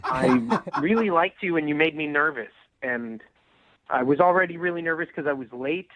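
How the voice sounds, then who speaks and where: A man calling in by phone, talking casually in a halting, awkward way. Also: fairly high pitch, lively intonation, noisy background. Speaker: caller. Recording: narrow, tinny phone line.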